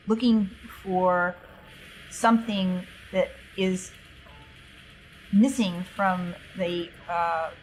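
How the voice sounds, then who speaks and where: A woman's voice: mid-range voice, slight background noise, rough recording. A woman playing a tabletop roleplaying game, talking through the group's plans in a casual way.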